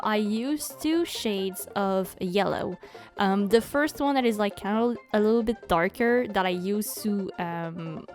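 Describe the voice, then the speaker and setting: A young woman from Quebec with a Quebec French accent, speaking English as a second language in a chatty voice-over, full of ums and likes. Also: mid-range voice. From Quebec, Canada. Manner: chatty, many ums and likes, laughs often. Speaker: bullet journal YouTuber. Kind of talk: casual voice-over commentary.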